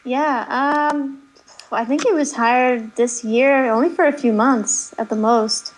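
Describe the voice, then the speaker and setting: A woman's voice: sits high, steady tone, slow, slight background noise, poor audio. A woman in a casual podcast conversation, speaking hesitantly with many fillers.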